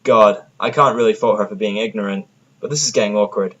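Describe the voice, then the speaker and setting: A man's voice: fairly low pitch, fairly quick, fairly bright tone, lo-fi sound. A man narrating scripted first-person fiction as the storyteller of an audio drama.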